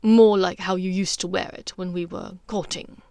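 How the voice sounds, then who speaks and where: A woman's voice: fairly low pitch, fairly even intonation, normal speed, darkish tone, no room sound, clean studio sound, lightly acted. A woman voicing a middle-aged aristocratic husband in a storybook, pompous and awkwardly amorous, with drawled innuendo.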